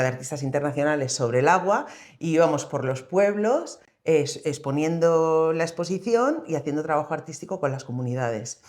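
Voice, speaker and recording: A woman's voice: sits low, darkish tone. A woman with an accent from Spain talking as an interview guest, telling her story in a reflective, loosely structured way. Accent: Spanish from Spain. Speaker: interview guest, co-founder of a social organization. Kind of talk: conversational interview account.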